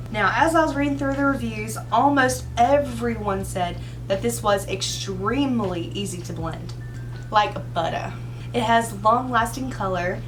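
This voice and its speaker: A hoarse woman chats her way through a makeup routine, rambling with casual asides as she talks around a cough drop. She sounds a little way off from the mic, with a faint hum underneath. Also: mid-range voice, recorded from a distance. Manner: chatty and rambling, with filler asides. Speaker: beauty vlogger. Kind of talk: casual get-ready-with-me chat.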